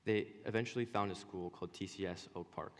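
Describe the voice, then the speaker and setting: A young man, a student presenter, giving a personal speech on stage with a stutter, halting pauses and repeated words. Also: low-pitched, steady tone. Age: young adult. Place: stage in a large hall. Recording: echoing hall with long reverb.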